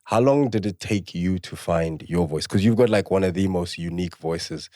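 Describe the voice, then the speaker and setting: Male host, low-pitched, playful interviewer, close dry sound. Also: normal speed, dark tone, no echo. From South Africa. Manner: playful, teasing, encouraging. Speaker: podcast host. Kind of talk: casual interview.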